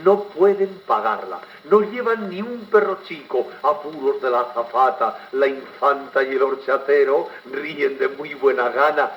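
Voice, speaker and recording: A man's voice: mid-range voice, unhurried, few pauses, lo-fi sound. A man with an accent from Spain declaiming poetry expressively in an old theatrical style, on a crackly 78 rpm record. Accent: Spanish, from Spain. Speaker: poetry reciter. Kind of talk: theatrical 1940s-style dramatic poetry recitation.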